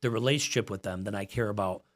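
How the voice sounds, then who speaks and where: A man's voice: fairly low pitch, fast talker. Earnest American man in his forties. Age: 40s. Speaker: podcast guest, gym owner and coach. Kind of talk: casual podcast conversation.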